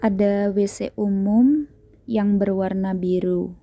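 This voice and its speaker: A woman's voice: medium pitch, steady tone, takes it slowly, dark tone, no echo, faint music behind. A woman narrating a hiking vlog. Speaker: vlogger.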